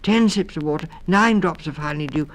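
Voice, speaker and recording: Man voicing high, singsong little mouse children. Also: fairly quick, fairly bright tone, natural voice. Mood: eager, breathless. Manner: singsong, eager. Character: excitable little mouse children, counting eagerly. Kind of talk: 1960s children's storybook record.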